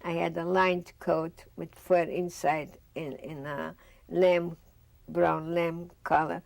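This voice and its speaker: A woman's voice: fairly low pitch, level tone, takes it slowly, gravelly, no room sound. An older woman from Bessarabia with a Russian accent, telling her life story in a seated studio interview and acting out the dialogue she remembers. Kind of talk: oral history interview. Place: studio with dark curtain backdrop, seated.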